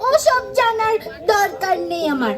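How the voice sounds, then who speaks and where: A woman's voice: very high-pitched, big pitch swings, measured pace, heavily acted. A woman voicing a cheeky, stubborn young schoolchild in a children's puppet show, with a whiny, exaggerated delivery. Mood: teasing, annoyed. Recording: music behind the voice.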